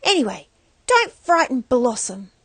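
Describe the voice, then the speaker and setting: A woman's voice: high voice, very animated, a little slow, breathy edge, no room sound, full character voice. A woman voicing a plucky, polite young girl with an earnest, direct manner in a children's storybook. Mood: firm. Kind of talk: read-aloud children's storybook.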